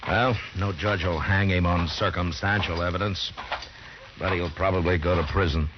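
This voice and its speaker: An actor playing a stern, middle-aged frontier marshal in an old radio western, speaking in a terse, measured, authoritative way. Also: male voice, deep voice, steady tone, close to an everyday voice. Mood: easygoing, grave. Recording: noisy, dull old radio drama recording.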